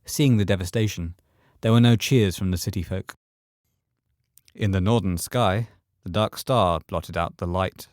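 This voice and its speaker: Low voiced guy narrating a horror audio story, scripted read, close dry mic. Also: measured pace, darkish tone, no room sound.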